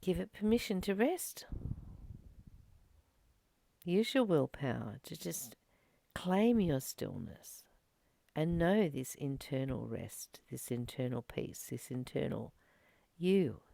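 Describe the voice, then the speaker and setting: A woman reading a spiritual text aloud and reflecting on it in a slow, gentle, contemplative way. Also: sits low, very animated, gravelly, dry recording. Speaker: spiritual study reader and teacher.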